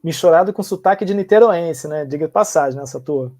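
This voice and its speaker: A man's voice: on the high side, brisk, mellow. A Brazilian man talking informally as a guest on a panel.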